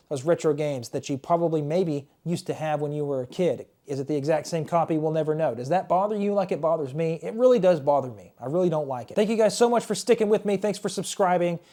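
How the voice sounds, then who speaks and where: A man in his thirties chatting casually and enthusiastically in a collector's vlog, his voice worn and starting to go hoarse. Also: a little high, dark tone. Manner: chatty, enthusiastic, repeats words. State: losing his voice. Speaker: retro game collector and YouTuber. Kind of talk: casual show-and-tell vlog.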